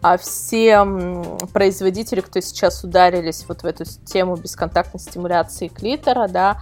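A woman's voice: lowish voice, normal speed, faint music behind. A woman speaking as a podcast guest, explaining things casually and wordily, with many qualifiers. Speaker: invited guest, editor of an adult toy website.

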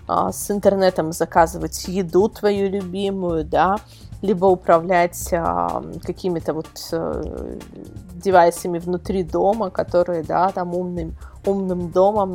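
A woman's voice: on the low side, a little mellow. Woman guest chatting and explaining stuff, feeling around for words, bit of music under her. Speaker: guest expert, web portal editor. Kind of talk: casual interview conversation.

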